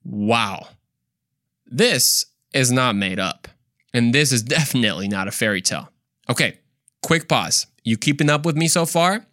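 Male podcast host, mid-range pitch, casual storyteller with a joking, playful streak, recorded dry. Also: lively intonation, measured pace, pushed and bright, no echo. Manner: playful, joking, with exclaimed asides and mimicked dialogue. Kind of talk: casual, conversational storytelling to listeners.

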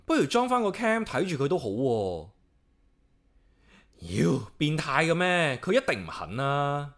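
An actor playing a solitary, caring young office worker with a Hong Kong accent, narrating and chatting casually in a radio drama. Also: male voice, a little high, big pitch swings, a little slow, a bit bright, exaggerated voice. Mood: worried, musing. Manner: casual, talks playfully to himself and pet. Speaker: lead character and narrator. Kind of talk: radio drama dialogue and inner-monologue narration.

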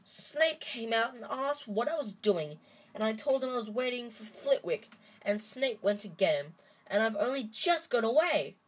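Casting note: bright anxious schoolgirl, a woman reader's voice, a touch higher. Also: expressive, slightly rough, low-quality recording. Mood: wailing apologetic. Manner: wailing, then brisk. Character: anxious clever schoolgirl, eleven.

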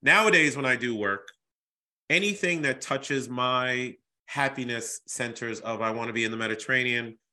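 A man's voice: medium pitch, normal speed, bright, forceful tone. A man from Boston hosting a podcast, chatting casually and often repeating words while he finds his phrasing. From Boston, USA. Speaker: podcast host. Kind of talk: casual interview chat.

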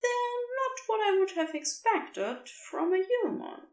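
A woman's voice: extremely high pitch, sing-song, slow, darkish tone, roomy sound, distant mic, pitched above the performer's own voice, full character voice. A woman voicing a smug, playful dragon-like cherub who teases in a gleeful, theatrical, lilting way. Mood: soft fond.

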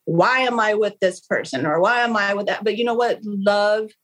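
A woman whose first language is Khmer speaks as an interview guest at a medium pitch. She talks frankly and with animation, often going back to restart a phrase. The feel is a candid, casual conversation. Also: normal speed. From Long Beach, California. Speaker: interview guest, real estate agent.

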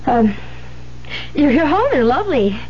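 An actress playing a gentle, principled young nun in a 1970s radio drama, polite, earnest and hesitant. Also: female voice, sits high, very animated, unhurried. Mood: polite, nervous. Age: young adult. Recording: muffled, hissy 1970s radio recording.

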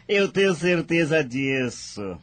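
An actor playing a polite, lovelorn young widower in a radio soap opera. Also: male voice, fairly high pitch, no room sound, exaggerated voice. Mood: resigned, amiable.

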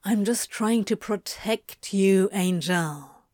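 A woman's voice: mid-range voice, a little slow, no echo, lightly acted. A woman voicing a sardonic, protective male demon in an audiobook story, with a dry, grumbling delivery. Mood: tender. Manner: dry, muttering, deadpan.

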